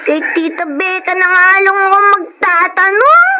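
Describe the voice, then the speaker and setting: A woman's voice: extremely high pitch, lively intonation, moderate pace, noisy background. A woman voicing a sweet, curious young girl who talks politely and eagerly in a radio drama. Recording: dull lo-fi radio recording.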